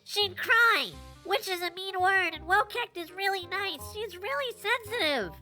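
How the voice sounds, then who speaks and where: Woman voicing an earnest little goblin watchman, bright, animated. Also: extremely high pitch, a little slow, soft background music, exaggerated voice. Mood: upset, pleading. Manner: formal, solemn proclamations. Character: small earnest male goblin watchman, proud and fretful. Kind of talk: audio roleplay acting.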